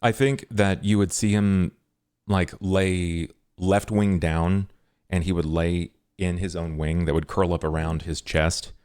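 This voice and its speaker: A man with a deep voice is recorded dry and close. He plays a tabletop game, describing what his character does in casual, halting phrases. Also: fairly even intonation, a little mellow, smooth, no echo.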